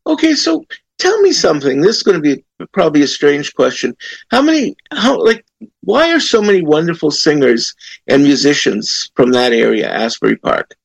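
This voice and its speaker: Chatty host with a lively, gravelly voice. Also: male voice, on the high side, moderate pace, no echo, low-quality recording. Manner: chatty, animated, laughing asides. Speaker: interview host. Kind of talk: casual interview questions.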